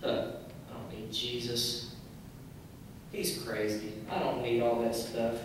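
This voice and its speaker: A man voicing a sullen, dismissive boy puppet in a scornful, low-energy mumble for a church puppet show. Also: completely flat delivery, slow, close to an everyday voice. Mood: scornful, muttering. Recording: very echoey hall, distant mic, noisy.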